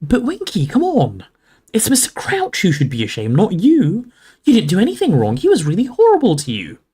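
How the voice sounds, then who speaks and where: An earnest young girl in a storybook, played by a woman reader, pitched high and lively. She speaks up with kindly, indignant conviction and plenty of melodic movement. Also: moderate pace, slightly rough, heavily acted. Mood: shocked, reassuring.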